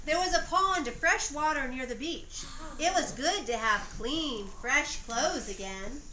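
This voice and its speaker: A woman narrating a homemade history play like a storybook, reading aloud expressively with deliberate phrasing, in a roomy home recording. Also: high-pitched, lively intonation, bright, forceful tone. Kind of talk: storybook narration for an amateur play, with informal stage directions. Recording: roomy echoey home recording, faint noise.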